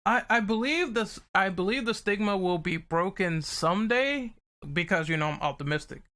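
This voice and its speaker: A man with a fairly high, very bright and clear voice hosts a casual panel discussion. He speaks informally and freely gives his opinions. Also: lively intonation, moderate pace. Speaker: podcast host.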